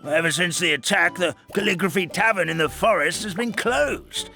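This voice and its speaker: An actor playing an old, forgetful paper creature who acts as a gentle, fretful elder, rambling in a dramatic grandfatherly way. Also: high-pitched, normal speed, vocal fry, faint music behind, exaggerated voice. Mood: worried storytelling. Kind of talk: video game dub acting.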